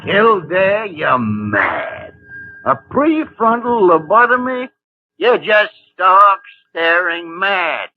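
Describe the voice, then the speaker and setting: A gruff older doctor. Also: male voice, sits high, very animated, very slow, faint music behind, exaggerated voice. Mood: incredulous. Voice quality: gruff, blustering. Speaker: senior doctor. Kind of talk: 1950s radio drama acting. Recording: tinny lo-fi 1950 radio broadcast.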